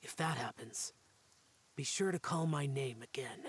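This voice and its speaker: A man's voice: mid-range voice, unhurried, slightly rough. A man voicing an aloof young guardian spirit warrior in a game, grave and terse, half-whispered.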